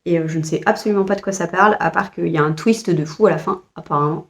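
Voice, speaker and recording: A woman's voice: fairly low pitch, quick pace, gravelly. A French woman vlogger chatting casually about books she bought, hesitant with frequent fillers and restarts. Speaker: book vlogger. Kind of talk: casual book haul chat.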